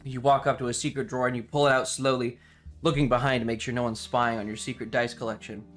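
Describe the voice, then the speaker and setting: Male live streamer, mid-range voice, loose rambling chat with a playfully dramatic streak, soft guitar music behind. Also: medium pitch, level tone, quick pace. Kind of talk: casual livestream chat with viewers.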